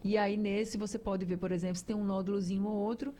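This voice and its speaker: A woman's voice: mid-range voice, level tone, fast, warm tone. A woman hosting a radio health talk show, explaining things to listeners in a chatty, conversational way.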